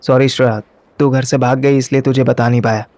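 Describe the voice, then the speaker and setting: A man's voice: medium pitch, flat delivery, fairly quick, darkish tone, dry recording. A man narrating a first-person story and acting its dialogue in colloquial Hindi mixed with English. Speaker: narrator and lead character of an audio story.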